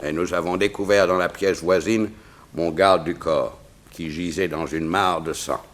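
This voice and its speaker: An actor playing a dignified royal chamberlain in a 1960s French radio drama, speaking formally and precisely. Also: male voice, low-pitched, fairly even intonation, measured pace, a bit bright. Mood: grave, formal.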